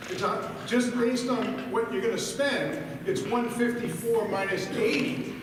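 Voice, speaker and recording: A man speaking as a resident at a school board meeting, argumentative and pressing the board with questions. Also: high-pitched. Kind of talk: public comment. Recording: echoey hall from far off, noisy.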